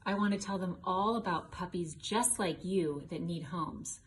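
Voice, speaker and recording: A woman voicing an affectionate, excited little girl in a children's picture book. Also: on the low side, measured pace, a little hiss or hum, close to an everyday voice. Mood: happy, loving. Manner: affectionate, earnest. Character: affectionate, excited little girl who loves her puppy.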